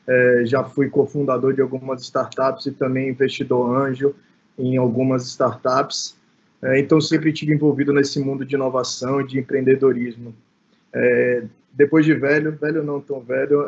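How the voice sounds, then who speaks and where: A man's voice: mid-range voice, darkish tone. A man with a Brazilian accent from Bahia, speaking informally as a guest in an online panel, often adding short check-in tags. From Salvador, Brazil. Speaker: innovation manager, guest speaker. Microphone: online call. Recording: dull, lo-fi online call audio.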